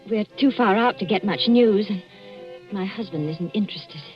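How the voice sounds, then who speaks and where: An actress playing an anxious, defensive wife in an old radio suspense drama, speaking in short, dramatic replies. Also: female voice, mid-range voice, moderate pace, soft background music, close to an everyday voice. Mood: reserved. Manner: tense, dramatic, clipped replies. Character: anxious, defensive wife cornered by her husband. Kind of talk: 1940s radio suspense acting. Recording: muffled old radio recording.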